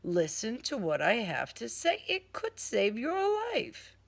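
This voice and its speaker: Woman voicing a courtly, menacing male killer, slow and creaky. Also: very high-pitched, huge pitch swings, fairly bright tone, voice pushed up, exaggerated voice. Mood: persuasive, controlled. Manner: formal, genteel, deliberate wording.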